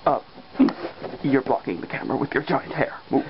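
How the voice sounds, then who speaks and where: A woman's voice: a bass voice, moderate pace. A woman voicing a boastful, easily exasperated male vampire who hosts an amateur comedy show, with theatrical announcing and flustered asides. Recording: muffled lo-fi audio, faint noise.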